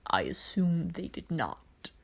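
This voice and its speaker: A woman voicing a weary old male alien emperor in a fan fiction reading, ominously calm, in a breathy, hissing whisper with measured phrasing. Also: on the low side, full character voice.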